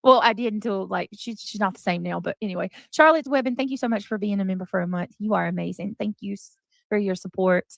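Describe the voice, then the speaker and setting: A woman hosting a casual livestream, friendly and soft-spoken, thanking members and greeting guests. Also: mid-range voice, quick pace, creaky, no room sound.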